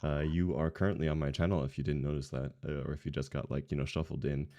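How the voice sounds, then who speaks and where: Low-pitched man hosting a livestream. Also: level tone, fast talker, dark tone. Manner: informal, jokey, welcoming viewers by name. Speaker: stream host. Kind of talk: casual chat introducing a livestream.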